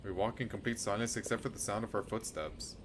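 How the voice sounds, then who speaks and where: A man's voice: fairly low pitch, steady tone, fast, background noise. A man streaming a game, reading a visual novel aloud and adding casual commentary.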